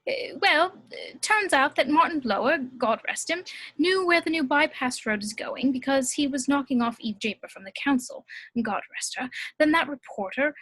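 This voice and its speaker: A woman's voice: high-pitched, expressive, moderate pace, a bit bright, dry recording, poor audio. A woman reading a chatty, gossipy older village florist in a table read, rambling and confiding. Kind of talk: table read over video call.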